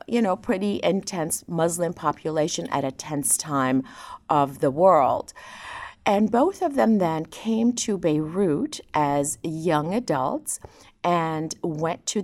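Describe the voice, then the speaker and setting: A woman from Lebanon whose first language is Armenian, speaking as an interview guest in a measured, articulate and reflective way, with no accent. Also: low voice, darkish tone. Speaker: guest ophthalmologist. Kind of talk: interview storytelling.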